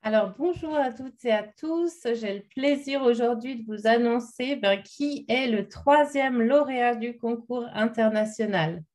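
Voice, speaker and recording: A woman announces an award winner over a video call, building suspense with a guessing game. Her voice sits fairly high. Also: unhurried, hardly any pauses, darkish tone. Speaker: award presenter.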